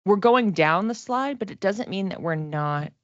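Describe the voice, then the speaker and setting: A woman giving a casual, informal tarot reading as a psychic, reflective and halting, often giggly as she talks. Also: medium pitch, expressive, no room sound, highs cut off.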